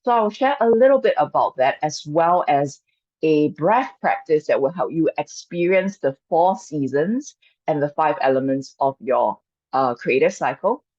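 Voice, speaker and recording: Gentle woman on a dull video call. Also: low-pitched, expressive, a little slow, dry recording. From Singapore. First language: Chinese. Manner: gentle, inviting, reassuring. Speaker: mindfulness and qigong coach. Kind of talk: guiding an online workshop.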